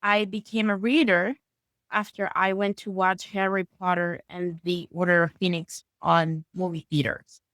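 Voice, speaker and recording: A woman's voice: on the low side, little pitch movement, a little slow, a bit bright, no echo. Venezuelan woman author telling stories. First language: Spanish. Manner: friendly, storytelling, uneven pace. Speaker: guest author. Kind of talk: casual interview chat.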